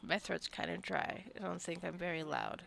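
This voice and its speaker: A woman's voice: fairly low pitch, fairly even intonation, moderate pace, vocal fry, fairly bright tone. A woman giving casual, unscripted gameplay commentary, rambling and thinking aloud as she plays. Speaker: gaming video creator. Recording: clicking and faint background noise.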